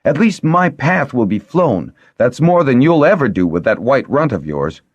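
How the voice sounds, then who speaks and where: Man voicing a scornful, hot-tempered girl, pitched up. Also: wildly swooping pitch, moderate pace, a little mellow, no echo, lo-fi sound. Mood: angry. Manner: disapproving, derisive, forthright.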